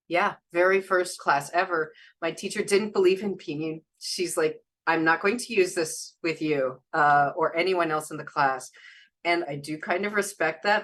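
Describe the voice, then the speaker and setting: A woman's voice: deep voice, fairly bright tone. A woman guest speaking casually in an interview, a translator and former Chinese teacher, rambling and chatty with frequent laughs. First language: English.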